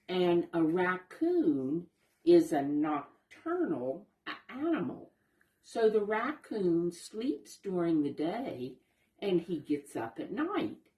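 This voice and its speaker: A woman's voice: on the low side, warm tone, reverberant. A woman storyteller talking to young children, patiently explaining in simple words and asking them questions. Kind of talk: children's read-aloud lesson, addressing young listeners.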